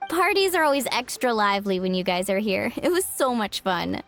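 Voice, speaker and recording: A woman voicing a cheerful young woman who hosts a party in a video game, breezy and lively. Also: mid-range voice, a little fast, soft background music. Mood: concerned. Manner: breezy, lively, warm toward friends.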